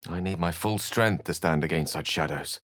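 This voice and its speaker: A man's voice: low-pitched, normal speed, a bit bright, dry recording, clean studio sound. A man playing an eloquent, bookish video game wizard, wry, proud and courteous, with an articulate, theatrical and refined delivery. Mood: grave. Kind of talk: video game character acting.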